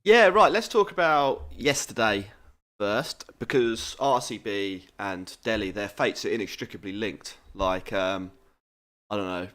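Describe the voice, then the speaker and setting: A man's voice: lowish voice, expressive, a little slow, fairly bright tone. A man co-hosting a podcast in casual chat, his voice nasal and congested from hay fever, rambling and self-deprecating. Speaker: podcast co-host.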